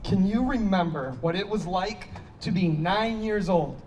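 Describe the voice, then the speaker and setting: A man plays an anguished, defensive man fighting the bottle in a play reading. His voice sits high and he hammers his phrases in agitation. It is picked up at a distance outdoors, with some background noise. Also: a little slow, a little mellow. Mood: needling. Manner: emphatic, repetitive, agitated. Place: small outdoor stage. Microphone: handheld microphone.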